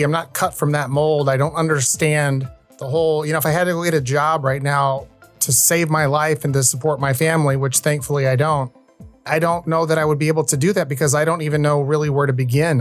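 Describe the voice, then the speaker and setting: A man's voice: fairly high pitch, fairly even intonation, a little fast, soft background music. A man in his fifties hosting a podcast, talking earnestly and at length in a conversational interview style. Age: 50s. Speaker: podcast host.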